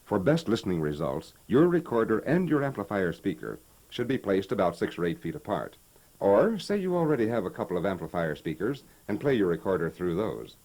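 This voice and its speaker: A folksy american stereo expert on an old 1960 demo tape, low voice that moves around a lot, explains patiently. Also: male voice, big pitch swings, darkish tone, low-quality recording. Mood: instructive. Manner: instructive, folksy, measured. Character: knowledgeable, patient hi-fi expert, adult man. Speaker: presenter explaining stereo equipment. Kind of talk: scripted 1960 instructional tape, staged conversation. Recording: vintage 1960 promotional tape recording.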